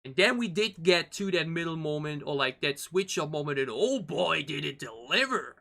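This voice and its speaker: A man giving casual reaction commentary as a video host, excitable and rambling, often repeating his phrases. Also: a little high, normal speed, vocal fry. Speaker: host of a K-pop reaction channel. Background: pop music from the video being watched.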